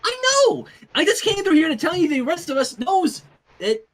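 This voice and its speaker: A man voicing a brash, excitable young female cartoon pony, blurting and breezy, in a fan fiction reading. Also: extremely high pitch, moderate pace, pitched above the performer's own voice, full character voice. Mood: brash, cheerful.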